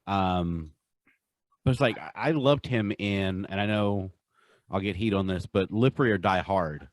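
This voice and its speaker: A man co-hosting a podcast in casual, enthusiastic chat, with a halting way of talking. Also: low-pitched, no echo, studio quality. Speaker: podcast co-host. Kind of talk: casual chat, countdown commentary.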